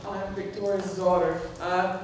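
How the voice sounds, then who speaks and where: A middle-aged woman with a deep voice, speaking at her mother's tribute in a heartfelt, halting way. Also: level tone, a little mellow. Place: indoor gathering hall. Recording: echoey hall from far off, faint noise.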